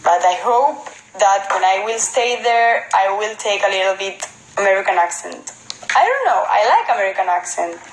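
A Spanish woman in her twenties chatting casually on a phone livestream, answering viewers in Spanish and halting English with a Spanish accent. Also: medium pitch, expressive, reverberant, a little hiss or hum, low-quality recording. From Spain. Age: 20s. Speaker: model on a livestream. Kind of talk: casual livestream chat reading comments. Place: indoor room at home. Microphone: phone held at arm's length.

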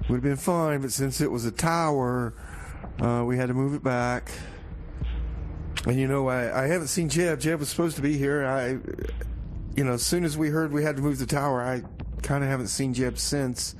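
A man's voice: medium pitch, steady tone, moderate pace, slight background noise. A man hosting a community radio show with casual, comedic DJ patter, rambling in a folksy way and repeating his phrases.

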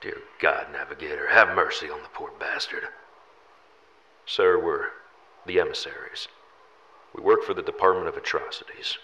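An actor playing a grim, steadfast government agent in his sixties in a horror drama, gruff and dry. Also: a bass voice, moderate pace, bright, forceful tone, lo-fi sound, full character voice. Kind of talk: analog horror drama acting.

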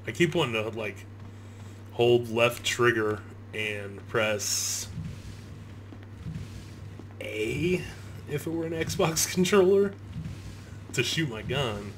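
A man's voice: mid-range voice, takes it slowly, pauses often. A thirty-year-old man giving casual gameplay commentary, swearing, griping and poking fun at himself. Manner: sweary, griping, self-deprecating. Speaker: gamer, video host. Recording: clear game ambience noise behind. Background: horror video game sound.

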